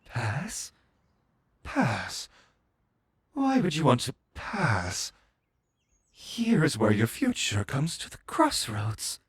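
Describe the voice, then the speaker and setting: A man playing a mad, zealous villain, a servant of a moon goddess, preaching in a grandiose, drawn-out way in a fantasy audio roleplay. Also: mid-range voice, wildly swooping pitch, husky, full character voice. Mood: ominous wonder.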